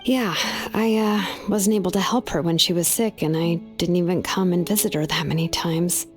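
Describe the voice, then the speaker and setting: A woman's voice: on the low side, fairly even intonation, moderate pace, close to an everyday voice. A woman playing an easygoing, caring young artisan in a video game, speaking in a casual, laid-back way. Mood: modest. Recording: music behind.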